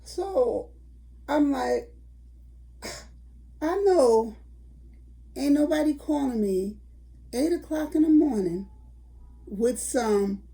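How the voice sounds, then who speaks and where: An older woman tells a story to her viewers in a chatty, casual way. Her voice is raspy and gravelly, and it sits dark and mellow in tone. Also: high-pitched, animated, extremely slow, long pauses. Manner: chatty, addresses viewers as y'all. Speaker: vlogger.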